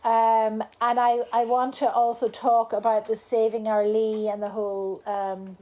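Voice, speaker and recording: A woman's voice: on the high side, flat delivery, a little slow, mellow. A woman with an Irish accent, not from the local area, talking casually to a live group at a bar event, rambling with many restarts and fillers. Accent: Irish, not from Cork. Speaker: salon speaker.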